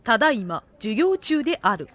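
A woman's voice: fairly high pitch, sing-song, moderate pace, very noisy. A woman narrating a comic audio drama from a script. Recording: music behind the voice.

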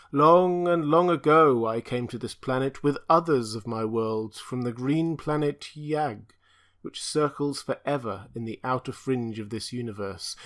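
A man's voice: medium pitch, a bit bright, natural voice. A man voicing an ancient, blind, tortured alien being that speaks in a raised, mournful, chanting way. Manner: lamenting, chanting, raised and pleading.

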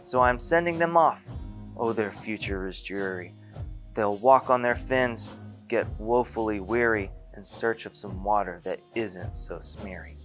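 A man's voice: fairly low pitch, unhurried, a bit bright, soft background music. A man voicing a small grumpy male forest creature who scolds in fussy rhyming speech, as in a storybook reading. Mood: indignant.

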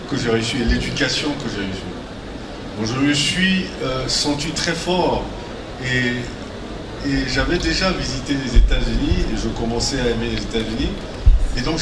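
Man, forties, calm measured interviewee, distant roomy stage sound with background noise. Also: medium pitch. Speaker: interview guest. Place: stage with dark curtain, indoors. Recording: echoey hall, heard from afar.

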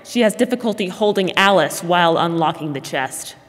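Low-voiced young woman narrator, reverberant hall. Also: animated, fairly quick. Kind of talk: scripted narration of stage directions. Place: stage of a school theater. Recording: reverberant hall, faint noise.

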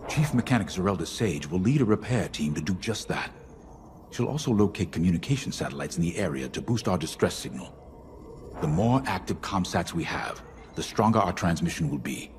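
A man acting a grave, principled middle-aged rebel fleet commander in a video game, with a measured, solemn, deliberate delivery. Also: low-pitched, animated, slight background noise. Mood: grave, urgent. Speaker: military commander.

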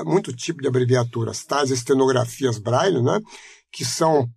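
An older man in his seventies with a Rio de Janeiro accent gives a measured, reflective testimony. His voice is raised and gravelly, with a husky edge. The recording is dry and close. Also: fairly high pitch, no echo. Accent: Rio de Janeiro Portuguese. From Brazil. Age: 70s. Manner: measured, reflective, explanatory, frequent "né?" tags. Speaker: interviewee, retired teacher. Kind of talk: oral history testimony.

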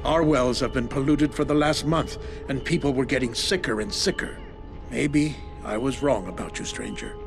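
Solemn, gravelly actor as village leader. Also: male voice, mid-range voice, moderate pace, music in the background, close to an everyday voice. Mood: grave, conceding. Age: middle-aged. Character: wary, devout middle-aged male village leader. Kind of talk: video game acting.

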